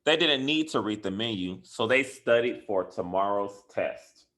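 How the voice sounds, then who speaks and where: A man reading a picture book aloud to children in an expressive way, with deliberate, emphatic phrasing and dramatic stresses. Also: on the low side. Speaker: school educator reading a bedtime story.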